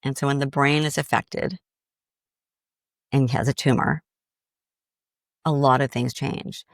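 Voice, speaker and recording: A middle-aged woman with a faint Boston accent and a gravelly edge, talking in a lively, storytelling way. Her voice is close and dry, with no room sound around it. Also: extremely low pitch, measured pace, dry recording. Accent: slight Boston. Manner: animated storyteller, acts out inner voices. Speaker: grief coach guest. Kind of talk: casual podcast conversation.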